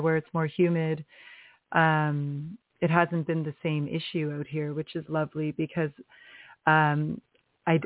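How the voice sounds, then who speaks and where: A woman's voice: low voice, no echo. Woman poet on a tinny phone line. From Canada. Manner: thoughtful, searching, many fillers. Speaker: poet guest. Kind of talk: casual interview talk.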